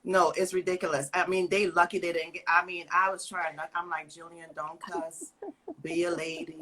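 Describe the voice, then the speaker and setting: A woman's voice: lowish voice, level tone, normal speed, bright, forceful tone, rough recording. A middle-aged woman with a Trinidadian accent, speaking bluntly and with frustration in a casual livestream chat over a video call. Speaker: cannabis business owner and co-host.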